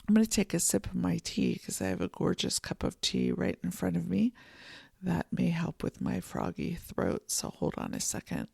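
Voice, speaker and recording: A podcast host giving a soft, contemplative solo monologue from a home office, voice a little froggy this morning. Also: mid-range voice, wildly swooping pitch, creaky, slightly rough, no echo, clean studio sound. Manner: soft, slow and contemplative, with gentle restarts. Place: home office and art studio.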